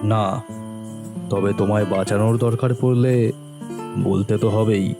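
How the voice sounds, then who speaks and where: Casting: male actor as calm, probing male friend, lowish and very even, measured insinuation, audio drama. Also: over music. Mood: calm suspicion.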